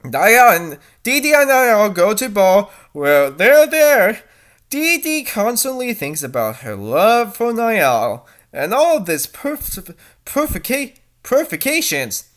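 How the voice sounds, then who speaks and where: Bright, sarcastic fan fiction reader, very animated. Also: mid-range voice, takes it slowly. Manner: sarcastic, exaggerated. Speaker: host reading fan fiction aloud. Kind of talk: mocking dramatic reading with commentary.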